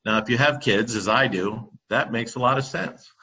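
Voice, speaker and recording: A middle-aged man giving a presentation over a computer microphone, halting often with ums and restarts. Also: mid-range voice, bright, forceful tone, slightly rough, dull audio. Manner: halting, many fillers and restarts. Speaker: company presenter on classroom audio. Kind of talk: webinar presentation.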